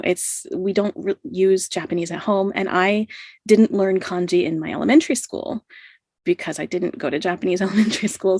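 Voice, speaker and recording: A woman's voice: on the low side, darkish tone, no room sound, studio quality. A middle-aged woman telling a personal story as a podcast guest, laughing often and filling with "you know" and "like". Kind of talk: casual storytelling in an interview.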